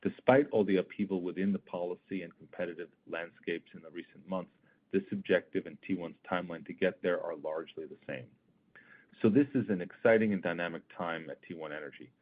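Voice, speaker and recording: A man's voice: sits low, fairly quick. A man delivering scripted earnings call remarks as a chief executive, in a formal, emphatic corporate style. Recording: thin phone-like conference call line.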